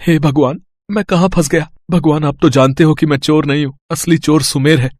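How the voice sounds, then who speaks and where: Man acting a pleading, husky poor young man. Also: a little high, steady tone, a little fast, a little mellow, no room sound, close to an everyday voice. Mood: anguished. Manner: earnest, pleading, talks aloud to himself. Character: poor, honest, simple young man wrongly jailed, distressed and pleading. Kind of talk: Hindi moral-story cartoon voice acting.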